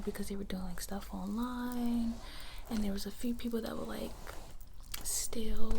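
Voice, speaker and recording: A woman in her twenties whispering an ASMR bedtime story in a casual, hesitant, conversational way with a breathy voice. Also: mid-range voice, background noise. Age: 20s. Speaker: ASMR creator telling a story.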